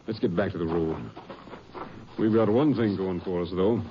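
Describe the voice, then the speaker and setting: An actor playing a grim, determined Texas Ranger captain in an old radio crime drama, stern and commanding. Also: male voice, low-pitched, moderate pace, close to an everyday voice. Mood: businesslike. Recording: muffled, hissy old radio recording.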